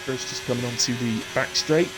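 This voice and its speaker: A man calls a sim race in a brisk, chatty play-by-play, his chest rough with a lingering cough. Engine noise and game sounds run clearly under his voice. He speaks at a middle pitch. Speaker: race commentator. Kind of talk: live sim racing commentary. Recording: noisy stream mic with car sounds.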